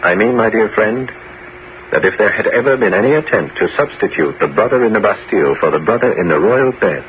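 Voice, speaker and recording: Guy acting a crafty persuasive bishop, low voice that swings around a lot, old 70s radio play. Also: lively intonation, close to an everyday voice. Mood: intense, persuasive. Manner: measured, persuasive, theatrical. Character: scheming, persuasive bishop, calm and calculating kingmaker. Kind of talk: 1970s radio drama acting. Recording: dull, noisy 1970s radio broadcast.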